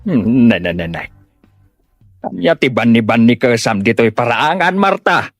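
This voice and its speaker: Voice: mid-range voice, big pitch swings, a little slow, no room sound, studio quality, faint music behind. An actor with an Ilocano Filipino accent playing a nosy, scolding village neighbour in an audio comedy-drama. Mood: curious. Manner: questioning, nagging.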